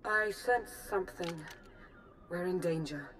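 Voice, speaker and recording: An actress playing an earnest, composed agent in a video game, speaking in a formal, measured way. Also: female voice, on the low side, a bit bright, slight background noise. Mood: wary. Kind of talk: cinematic video game acting.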